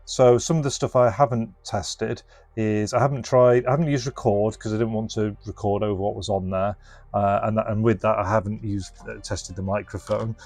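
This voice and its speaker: A man's voice: on the low side, steady tone, moderate pace, a little mellow, no echo. A man casually presenting a hands-on product demonstration, explaining informally and thinking aloud as he goes. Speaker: presenter and reseller of restored retro electronics.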